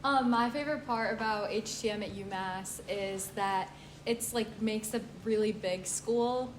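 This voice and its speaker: A woman's voice: medium pitch, a little slow, a little hiss or hum. A young woman student speaking casually on a panel about her studies. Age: young adult. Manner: casual, conversational.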